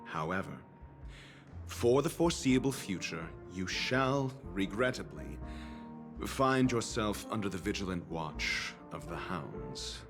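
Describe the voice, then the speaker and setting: Casting: pompous game-character doctor, low and expressive, formal diction, coolly disdainful, over music. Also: male voice, heavily acted. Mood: scornful. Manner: pompous, formal, deliberate phrasing. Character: haughty male scholarly doctor, coolly disdainful and sardonic. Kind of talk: video game voice acting. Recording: background music under dialogue.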